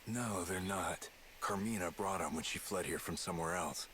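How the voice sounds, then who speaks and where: Low-voiced, laid-back male spy, played by an actor. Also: moderate pace, natural voice. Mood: laconic, reflective. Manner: casual, clipped, slangy delivery. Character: gruff, laid-back adult male spy, guarded and plain-spoken. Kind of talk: video game voice acting.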